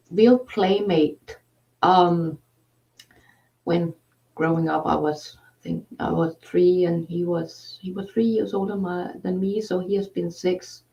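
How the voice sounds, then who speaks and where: Danish-accented woman host, slow and halting, gravelly tone, distant mic with dull lo-fi sound. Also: lowish voice, a little mellow. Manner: halting, many fillers. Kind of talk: casual conversation.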